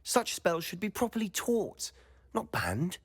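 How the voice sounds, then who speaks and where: An actor playing a confident, mischievous and secretive teenage male wizard student in a video game, speaking in a measured, confiding manner. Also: sits high, wildly swooping pitch, slightly rough, heavily acted. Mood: eager, conspiratorial. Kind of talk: video game dialogue acting.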